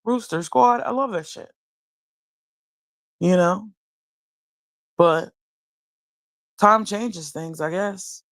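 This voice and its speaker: A woman hosting a late-night livestream, talking bluntly and profanely, musing slowly with many restarts while high. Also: no echo, clean studio sound. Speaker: livestream host. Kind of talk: late-night casual commentary. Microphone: streaming mic.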